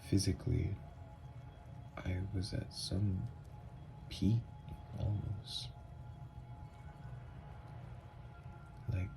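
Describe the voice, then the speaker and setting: A man plays a haunted young-blood vampire confessing in an intimate audio drama. His voice is very deep and gravelly with creaky fry. He speaks slowly and haltingly, often dropping toward a hushed breath, with anguish under every phrase. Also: full character voice, poor audio. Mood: awed. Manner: halting, hushed, breathy delivery with long pauses. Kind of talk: intimate ASMR audio roleplay monologue.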